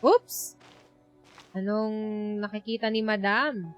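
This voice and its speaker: A woman's voice: medium pitch, measured pace, highs cut off. A woman with a Filipino accent chatting casually as she livestreams a game, switching between two languages. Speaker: game streamer. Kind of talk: casual livestream gameplay commentary. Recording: livestream with game music behind. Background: game music.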